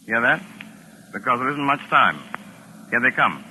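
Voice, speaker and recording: An actor playing a cool, shrewd mystery writer, measured and dryly urbane, in 1940s radio style. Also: male voice, medium pitch, expressive, bright, forceful tone, slight background noise, rough recording. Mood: urgent. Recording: old 1940s radio recording.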